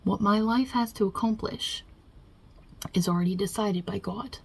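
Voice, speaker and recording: A woman voicing God as a wry, touchy Italian mob boss in an ASMR roleplay, with a husky, breathy voice and a put-on Italian-American New York accent. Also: mid-range voice, moderate pace. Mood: reading out questions. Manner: casual, wry, chatty, with softly murmured asides.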